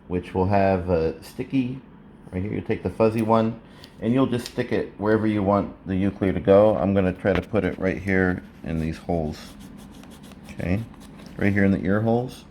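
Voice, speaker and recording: A man narrating a casual hands-on how-to, explaining step by step in a halting way, recorded indoors. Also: fairly low pitch, darkish tone. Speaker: presenter of a how-to video. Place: indoors, living room at a table. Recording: clear background room noise.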